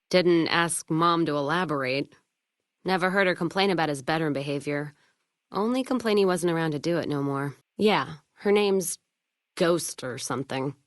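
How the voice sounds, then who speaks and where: An actress playing a hard-drinking, foul-mouthed, bitter caravan trader in a video game, with a gruff, sardonic, blunt manner. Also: female voice, deep voice, no room sound, clean studio sound. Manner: gruff, sardonic, blunt frontier drawl of speech, dropped words. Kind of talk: video game character dialogue.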